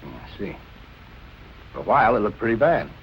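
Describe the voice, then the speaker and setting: An actor playing a firm, probing police captain in colloquial, authoritative 1940s film noir style. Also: male voice, on the low side, sing-song, a little fast, vocal fry, muffled recording, a little hiss or hum. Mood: easing, curious. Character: steady, probing police captain, grown man. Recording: vintage film soundtrack with music underneath.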